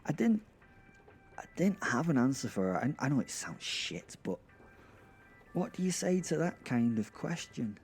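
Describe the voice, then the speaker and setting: A man hosting and narrating an audio drama podcast in an informal, halting, self-deprecating way. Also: mid-range voice, big pitch swings, soft background music. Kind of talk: first-person audio drama storytelling and informal address.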